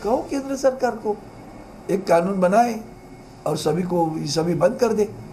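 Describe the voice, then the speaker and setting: A man's voice: sits high, expressive, unhurried, warm tone, soft background music. A male politician making challenging remarks to the press with repetitive, rhetorical phrasing.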